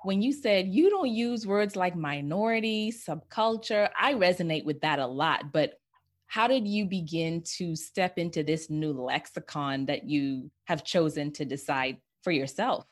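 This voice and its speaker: A woman's voice: fairly low pitch, lively intonation, a little slow. A woman hosting a conversational interview, effusive and affirming, repeating phrases for emphasis. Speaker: interview host.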